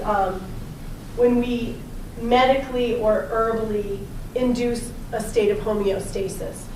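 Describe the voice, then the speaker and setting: A slightly hoarse woman with a raspy voice, a chiropractor giving a chatty, upbeat health talk and quizzing her small audience. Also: on the high side. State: slightly hoarse from talking a lot. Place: personal training gym, indoors. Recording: echoey room, distant mic, background noise.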